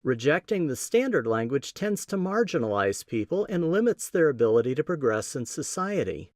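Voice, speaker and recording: A man with a Midwestern American accent hosting a podcast, giving a scripted teaching talk in a measured, explanatory way with emphatic stresses. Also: on the high side, sing-song, darkish tone, no echo, clean studio sound. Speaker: podcast host.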